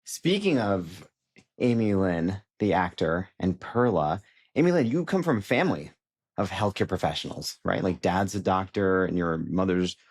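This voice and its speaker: A man with a very deep voice hosting a podcast. He interviews his guests in an upbeat way, asking them friendly questions. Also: lively intonation, normal speed, slightly rough. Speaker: podcast host.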